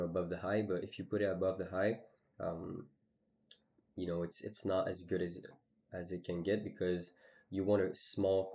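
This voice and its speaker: A man's voice: deep voice, darkish tone. A man streaming live, casually walking through his trades on a chart, with lots of "you know" and "okay". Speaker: trading streamer.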